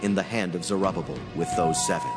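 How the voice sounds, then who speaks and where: A man playing a solemn, authoritative angel who proclaims God's commands in a grave, measured, declamatory way in dramatized scripture. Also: low voice, natural voice. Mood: solemn, proclaiming. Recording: long hall reverb, music underneath. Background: background music.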